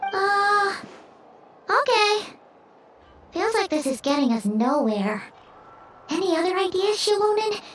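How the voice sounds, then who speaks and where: A woman voicing a tiny, excitable childlike floating companion in an anime game, squeaky and perky. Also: takes it slowly, long pauses, a little hiss or hum, heavily acted. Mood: skeptical. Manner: perky, exclamatory, refers to self in third person.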